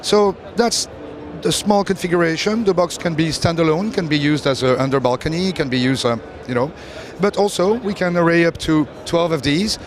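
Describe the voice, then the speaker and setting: A man giving an unscripted, technical product presentation as a company representative, explaining things in a halting way. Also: on the high side. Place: exhibition stand at a trade show. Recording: busy trade-show hall with crowd murmur.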